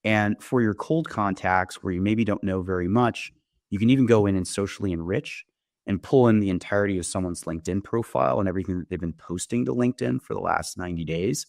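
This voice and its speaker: A man's voice: low voice, a little fast, creaky, darkish tone, no echo, clean studio sound. A man giving a conversational product demo, explaining things step by step with the odd check question. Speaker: company founder giving a software demo.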